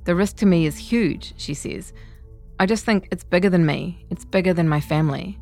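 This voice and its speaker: A woman reading a scripted feature article in a measured, composed way. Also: on the low side, dark tone, faint music behind. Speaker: narrator of a long-read podcast.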